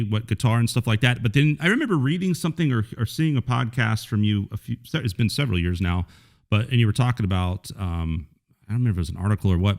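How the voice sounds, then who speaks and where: A man hosting a podcast, asking easygoing questions and checking with a quick "right?". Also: on the low side. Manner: laid-back, chatty, asks leading questions with "right?". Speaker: podcast host. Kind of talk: casual interview chat.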